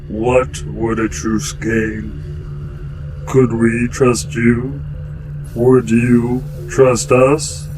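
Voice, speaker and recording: Cold alien leader, really slow and stilted, far off with noisy ambience. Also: male voice, mid-range voice, level tone. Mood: wary. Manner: halting, formal, stilted. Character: cold, measured male alien ship chief. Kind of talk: golden age sci-fi radio drama acting. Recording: distant voice with loud noisy ambience.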